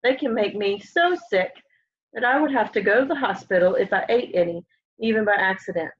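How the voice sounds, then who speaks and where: A young woman reading a children's picture book aloud in an expressive way, often putting questions to young listeners. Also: medium pitch, brisk, husky. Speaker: storybook reader. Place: room at home, indoors. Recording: dull, lo-fi home webcam audio.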